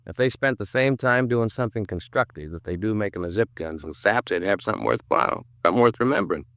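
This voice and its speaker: An actor playing a chatty, grumbling police detective partner in a 1950s radio crime drama, conversational and folksy. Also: male voice, lowish voice, animated, fast, a bit bright, no echo, clean studio sound.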